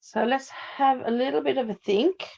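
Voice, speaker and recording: Woman, from Australia, hoarse, gravelly, lowish voice, chatty craft tutorial, dull lo-fi audio. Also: normal speed. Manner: chatty, steady step-by-step instructions. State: hoarse after laryngitis, coughing. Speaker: card-making host. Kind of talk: live craft demonstration.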